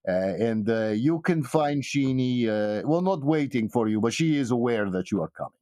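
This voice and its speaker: A man voicing a polite fixer in a tabletop game, speaking formally and hesitantly in measured phrases. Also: mid-range voice, expressive, warm tone, no echo, studio quality, natural voice. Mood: measured, courteous. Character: polite, thick-accented male fixer who spells out names.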